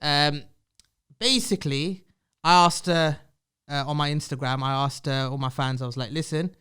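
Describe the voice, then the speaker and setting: A young man hosting a casual podcast interview, chatty and quick to agree. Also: fairly high pitch, pushed and bright, clear, resonant tone. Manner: friendly, constant "yeah" backchannel. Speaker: podcast host.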